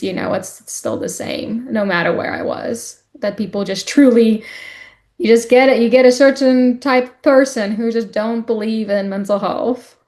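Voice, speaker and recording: A young woman, a podcast guest talking in an open, casual way and pausing often with ums and likes. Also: mid-range voice, a little mellow. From South Africa. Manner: hesitant, many ums and likes. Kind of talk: casual conversation.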